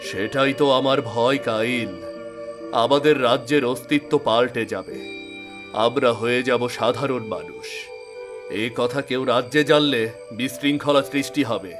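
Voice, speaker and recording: A grave fairy king acted by a man, protective and self-sacrificing, speaks in a stately cartoon-drama manner. The voice sits at a middle pitch and is measured in delivery. Music is clearly audible underneath. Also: natural voice. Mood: grave, worried.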